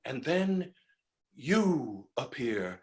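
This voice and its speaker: An actor playing a hot-headed, stammering landowner who is helplessly falling in love, in a theatrical staged reading of a farce. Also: male voice, medium pitch, sing-song, a little mellow. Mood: self-reproachful. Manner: theatrical, stammering, swings from halting to bellowing.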